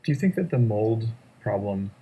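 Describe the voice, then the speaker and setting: A man interviewing a guest, asking measured, direct questions. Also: fairly low pitch, animated, dark tone, slightly rough.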